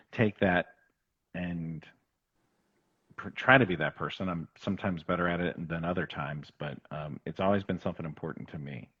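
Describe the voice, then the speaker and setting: A man being interviewed in a casual, reflective chat, speaking in an earnest, halting, self-effacing way. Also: low voice, slightly rough, lo-fi sound. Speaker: interview guest, talent agent turned show executive.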